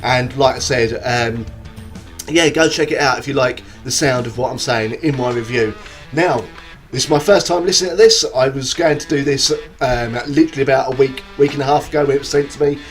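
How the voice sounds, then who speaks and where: A man's voice: medium pitch, fairly quick, soft background music. Chatty bunged-up man. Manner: chatty, rambling, many ums and self-corrections. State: stuffy, bunged up with a cold and hay fever. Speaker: music reviewer and show host. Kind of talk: casual video review. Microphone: camera video recording.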